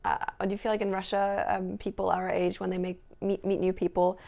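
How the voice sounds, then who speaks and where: A woman in her twenties from southern Germany chats as a podcast guest. Her mid-pitched voice has a lot of gravelly vocal fry, and she searches for words with plenty of "um" and "like". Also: flat delivery, a little fast, darkish tone. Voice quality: creaky. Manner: hesitant, many fillers and restarts. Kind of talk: casual chat.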